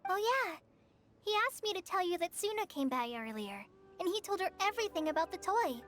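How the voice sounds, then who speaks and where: A woman's voice: very high voice, very animated, fairly quick, a bit bright, exaggerated voice. A woman voicing a small, eager, earnest childlike helper in a video game. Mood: curious, cheerful. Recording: clear game music behind the voice.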